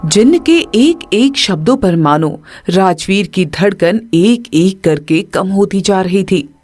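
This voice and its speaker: Slow, lively-voiced woman narrator. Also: medium pitch. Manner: slow, expressive storytelling. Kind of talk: storybook narration. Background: background music.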